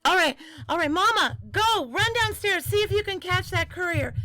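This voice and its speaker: A woman's voice: very high-pitched, animated, normal speed, sharp tone, heavily acted. An actress playing a spirited young woman of the 1920s in an audio drama. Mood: urgent.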